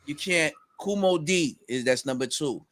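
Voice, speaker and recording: High-voiced man talking about rap. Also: normal speed. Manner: animated, many fillers and restarts, bursts into recited rhymes. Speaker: rapper guest. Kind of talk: casual conversation, reciting rap lines.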